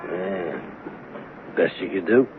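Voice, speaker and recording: A man's voice: low-pitched, expressive, slow. An actor playing a sly, chummy streetwise crook in a 1970s radio drama, slangy and insinuating as he needles and tempts. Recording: narrow, clearly noisy old radio broadcast.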